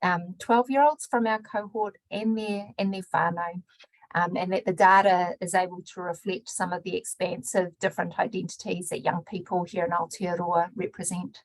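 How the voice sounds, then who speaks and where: A woman opening and hosting a research webinar, measured, mixing in Māori greetings. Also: on the low side, fairly even intonation, no echo, rough recording. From New Zealand. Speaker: webinar host and research director. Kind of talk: scripted webinar introduction.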